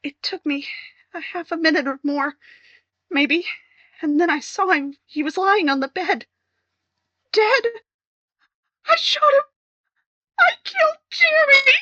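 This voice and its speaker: An actress playing a distraught young wife in a 1950s-style radio crime drama, emotional, halting and pleading. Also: female voice, very high-pitched, animated, sharp tone, no room sound, dull audio, full character voice. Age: young adult.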